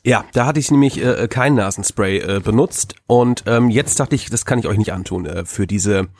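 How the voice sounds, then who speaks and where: A man's voice: on the low side, moderate pace, darkish tone, dry recording. Man, head cold, stuffy nose, rambling, many fillers, dry sound. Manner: rambling, filler-heavy talk. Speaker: podcast co-host. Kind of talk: casual chat.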